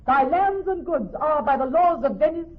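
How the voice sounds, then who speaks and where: A woman playing a clever young woman posing as a male judge in classical radio drama, speaking in a measured, formal, authoritative way. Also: high voice, expressive. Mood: stern, commanding. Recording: thin, narrow-band old radio broadcast.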